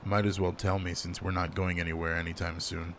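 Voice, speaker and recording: A man playing a gruff alpha male werewolf, guarded but caring, in an intimate roleplay audio drama, speaking in a slow, teasing, commanding way. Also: sits low, little pitch movement, a bit bright, slight background noise. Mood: brisk, caring.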